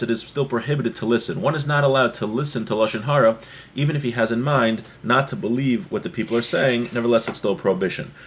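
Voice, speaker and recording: A man giving a religious lesson as a teacher, explaining a text point by point and repeating key ideas, in a husky voice. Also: medium pitch. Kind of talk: Torah lesson, reading Hebrew text and explaining it.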